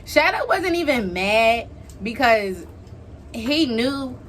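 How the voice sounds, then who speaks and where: A woman's voice: a little high, sing-song, slow, a bit bright. Young woman doing a casual storytime, slangy n gossipy, bit of noise on the recording. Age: young adult. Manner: informal, slangy, gossipy retelling with acted-out quotes. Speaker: online video creator telling a story.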